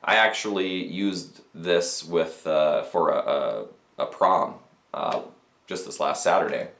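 A man in his thirties with a low, deep voice talks through a piece of gear in a relaxed, technical way, with plenty of fillers and restarts. He sits at a desk in a small office, and the room gives his voice a noticeable echo. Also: slow. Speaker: audio gear reviewer. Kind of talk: casual gear review to camera. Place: small cluttered office with speakers and mixers.

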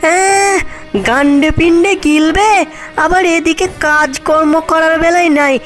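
Shrill, spiteful village aunt. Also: female voice, fairly even intonation, slow pace, faint music behind. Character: cruel, spiteful village aunt, abusive and scolding. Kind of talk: cartoon fairy-tale voice acting.

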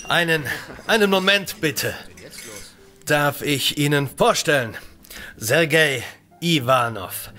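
A man's voice: mid-range voice, sharp tone. An actor playing the genial male leader of a research crew in an audio drama.

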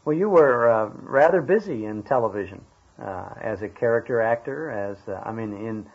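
A man's voice: on the low side, animated, normal speed, husky. A man interviewing guests conversationally, with hesitant, roundabout phrasing. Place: in a guest's home. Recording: dull lo-fi tape recording.

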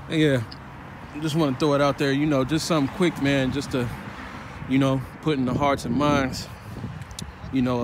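A man records himself on a phone as he walks along a city street. He talks in a preachy, slangy way, pausing again and again to check in with "all right?" and "man". Faint traffic and street sounds sit behind him. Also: mid-range voice. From Chicago, United States. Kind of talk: walking vlog commentary. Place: city sidewalk outdoors, walking. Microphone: phone held while walking. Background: faint traffic and street ambience.

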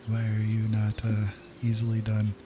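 Low-voiced man commentating on a game. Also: monotone, moderate pace, background noise. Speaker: gaming video host. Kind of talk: casual let's play commentary. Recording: game music behind voice. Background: game music and outdoor nature sounds.

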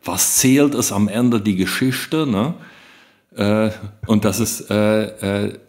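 A man's voice: lowish voice, steady tone, slow pace, darkish tone. A man co-hosting a podcast in a casual discussion. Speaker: podcast co-host.

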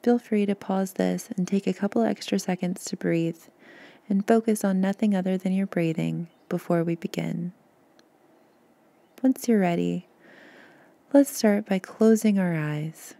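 A woman guiding a meditation in a soft, soothing, gentle voice, breathy at times, with unhurried pauses. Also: medium pitch, no room sound. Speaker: podcast host and meditation guide. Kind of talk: guided meditation narration.

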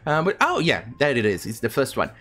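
A man's voice: medium pitch, huge pitch swings, brisk, sharp tone. A man in his thirties, not a native English speaker, hosting an indignant, rambling commentary video from home. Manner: indignant, rambling, many fillers. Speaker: commentary channel host. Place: home room with bookshelves.

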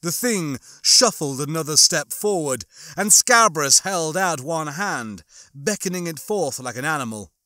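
A man reading a horror novel as an audiobook narrator, in a dramatic, emphatic style with drawn-out phrases. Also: a little high, lively intonation, sharp tone, no echo, clean studio sound. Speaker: audiobook narrator and channel host.

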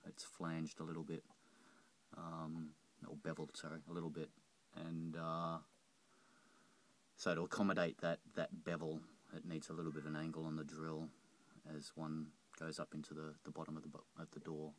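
A middle-aged man with a very deep voice walks through a boat door repair in a casual, halting way, full of ums and restarts. He records on a handheld phone in the boat's cockpit, with a faint hiss behind him. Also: completely flat delivery. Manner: hesitant, many ums and restarts. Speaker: boat owner showing a repair. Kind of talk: casual how-to walkthrough. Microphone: handheld phone camera.

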